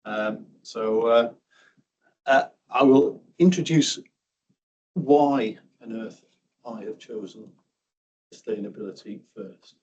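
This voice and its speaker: A man's voice: medium pitch, takes it slowly, long pauses, a little mellow, recorded from a distance, highs cut off, a little hiss or hum. A middle-aged man from South Yorkshire giving a conference talk on railway projects, hesitant and self-deprecating, often repeating words. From South Yorkshire, England. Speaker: railway project engineer, presenter. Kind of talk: conference presentation. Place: meeting room.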